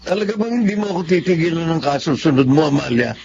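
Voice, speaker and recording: An actor with a Filipino accent playing a callous, irritated husband, dismissive and defiant, in a radio drama. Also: male voice, a little high, animated, quick pace, creaky, dull audio, noisy background. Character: callous, irritated adult husband and former lover. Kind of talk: Tagalog radio drama acting.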